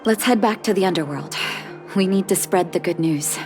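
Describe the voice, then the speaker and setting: A woman playing a gentle, dutiful young doctor in a video game, with a soft, earnest, sometimes breathy delivery. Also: lowish voice, moderate pace, lightly acted. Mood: wistful, resolved. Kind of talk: video game story voice acting. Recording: music behind the voice.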